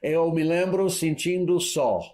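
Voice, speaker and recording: A man's voice: fairly high pitch, slow, darkish tone. Man interpreting a sermon, hesitant. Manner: hesitant, fillers and restarts while translating. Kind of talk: live sermon interpreting into Portuguese.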